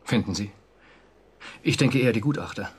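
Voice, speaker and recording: Radio drama role: an uneasy, dutiful bureaucrat, husky and slightly low, formal and haunted. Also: male voice, expressive, normal speed. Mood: uneasy, confiding. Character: conscientious, uneasy male government official, defensive and haunted. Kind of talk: German radio drama acting.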